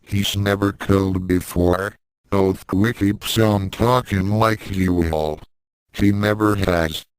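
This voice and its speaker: Storybook brother read by a man: young, sleepy grumbler, very low, smooth voice. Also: steady tone, slow, no room sound, rough recording. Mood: confident. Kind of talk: storybook dialogue read aloud.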